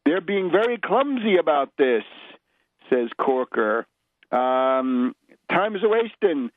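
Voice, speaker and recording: A man delivering an unscripted political radio monologue in a scornful, emphatic, opinionated manner, with halting, deliberate phrasing. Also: fairly high pitch, sing-song, dry recording. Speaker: political radio commentator and host. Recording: dull, lo-fi radio audio.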